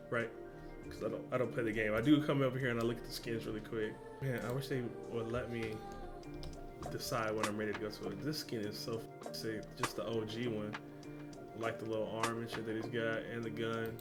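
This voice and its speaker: A young man hosting a reaction video, chatting casually in slang and exclaiming loudly at what he sees. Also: mid-range voice. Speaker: reaction channel host.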